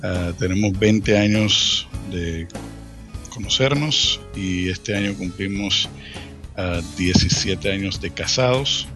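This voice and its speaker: A man in his late thirties with a Dominican accent, telling his own story casually as an interview guest, often pausing and repeating words. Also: sits low, over music. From Dominican Republic. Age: late 30s. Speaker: interview guest, church ministry worker.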